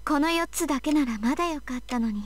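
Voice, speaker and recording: A woman's voice: high-pitched, racing, no echo, natural voice. An actress playing a young anime girl in a Japanese visual novel. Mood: calm, cool. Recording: music behind the voice. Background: background music from the game.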